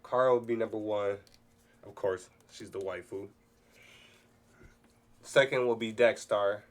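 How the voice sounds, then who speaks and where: Low-voiced man, casual commentary. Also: steady tone, a little slow. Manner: hesitant, self-correcting, informal. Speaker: host of a read-along reaction.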